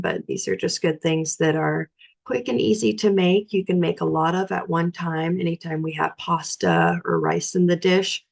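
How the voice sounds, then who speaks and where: A young woman, a nutrition educator, giving a relaxed, practical cooking talk with frequent fillers, her voice raspy and hoarse from a cold. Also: fairly low pitch. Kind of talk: informal library talk on cooking.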